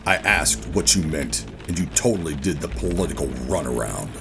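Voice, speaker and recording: An extremely low-pitched, brooding operative played by a male actor in a sci-fi audio drama. He is bitter and defiant, a man pulled back into service against his will. Music plays clearly behind his voice. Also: expressive, moderate pace. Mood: angry, defiant. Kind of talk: sci-fi audio drama acting with first-person narration. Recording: music bed under the voice.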